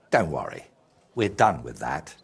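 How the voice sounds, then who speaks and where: An actor playing a troubled, scheming male painter in a video game, excitable and theatrical, with a husky voice. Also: a bass voice, exaggerated voice. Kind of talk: video game character dialogue.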